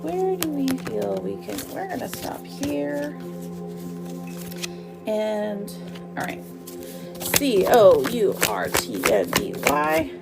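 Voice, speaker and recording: A woman's voice: fairly high pitch, sing-song, slow, vocal fry, long pauses, loud music behind the voice. A woman hosting a live tarot reading, chatty and informal, talking affectionately to her viewers. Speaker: tarot reader hosting a livestream. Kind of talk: casual live chat while reading cards.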